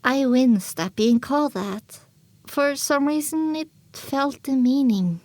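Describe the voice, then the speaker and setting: A woman with a fairly high voice narrates an audio drama in the first person. She delivers scripted story prose as the narrator. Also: lively intonation, takes it slowly, a little mellow, no echo.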